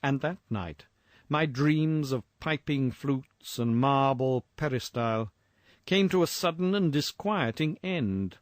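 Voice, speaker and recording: A man reading a gothic horror tale as a scripted narration, in a measured, literary storytelling manner. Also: medium pitch, animated, no room sound, clean studio sound.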